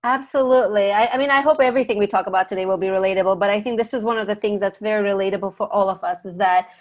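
A woman's voice: mid-range voice, very fast. A middle-aged woman from Turkey speaking conversationally as an expert podcast guest, explaining things.

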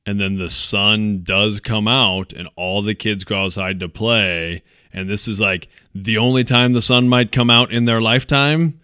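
A man's voice: on the low side, steady tone, measured pace, sharp tone, smooth, dry recording, studio quality. A man co-hosting a podcast in casual chat, telling things in a laid-back, conversational way. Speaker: podcast host.

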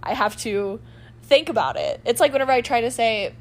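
A woman from Texas with a softened Texan accent, co-hosting a podcast in casual chat, giggling and drawing out words. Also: a little high, big pitch swings, a little fast. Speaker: podcast co-host.

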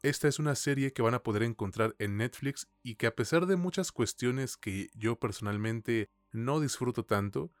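A man's voice: medium pitch, moderate pace, no room sound. A Mexican man co-hosting a podcast, presenting in a casual, conversational way. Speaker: podcast co-host.

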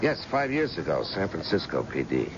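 A man's voice: low voice, huge pitch swings, normal speed. An actor playing a casual, wry male private detective and former cop in a vintage American radio drama. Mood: casual, curious. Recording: dull, noisy old radio broadcast.

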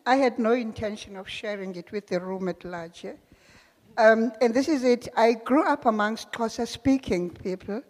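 A woman's voice: fairly high pitch, moderate pace. A woman taking part in a workshop who reads her own writing aloud, tentative and a little self-conscious. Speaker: workshop participant. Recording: echoey room with long reverb.

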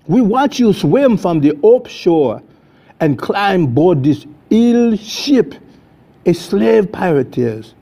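A man's voice: sits high, sing-song, takes it slowly, gravelly, warm tone, exaggerated voice. A man voicing a bold, menacing and commanding pirate captain with a Jamaican accent in a storytelling performance.

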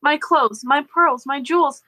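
A woman's voice: sits high, measured pace, sharp tone, dry recording. A woman voicing a spoiled, pleading young princess in a fairy tale read aloud, with theatrical old-fashioned delivery. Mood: eager, pleading.

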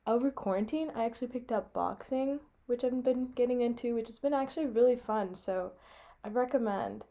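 A woman's voice: a little high, fairly quick, mellow. A young American woman, about eighteen, chatting casually as a podcast co-host. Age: young adult, about eighteen. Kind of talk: casual chat.

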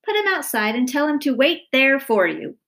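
An exasperated storybook dad, played by a woman, stern and fed up with his noisy household. The voice is loud and pitched fairly high, with big swings as the patience runs out. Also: very animated, normal speed, heavily acted. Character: exasperated shouting father of a noisy family.

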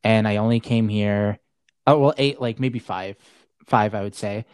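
A man's voice: fairly low pitch, unhurried, darkish tone, smooth, no echo, studio quality. Rambling young man in casual chat. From Saudi Arabia. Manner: rambling, heavy on filler words, laughs often. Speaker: podcast guest.

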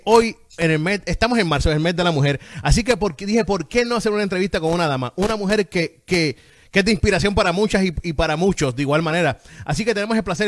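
Effusive male interview host, higher voice. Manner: effusive, repeats phrases for emphasis. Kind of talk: conversational interview hosting.

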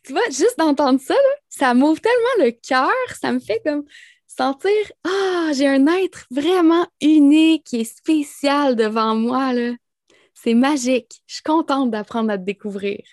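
A woman's voice: high voice, lively intonation, measured pace. A woman hosting an interview, reacting effusively to her guest. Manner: effusive, warm reactions, laughs often. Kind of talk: casual interview chat.

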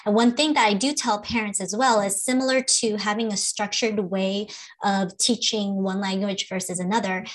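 A woman's voice: mid-range voice, poor audio. Woman explaining patiently, podcast co-host. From Philippines. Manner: explains patiently with fillers, laughs often. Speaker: speech-language pathologist podcast host. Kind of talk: casual advice chat.

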